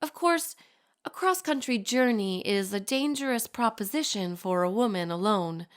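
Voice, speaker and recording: A woman plays a poised young society lady in an audio drama. Her voice is clean and resonant, at a medium pitch. She speaks with refined, formal composure, as if reading a private letter aloud. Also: expressive, normal speed, natural voice. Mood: wry, composed.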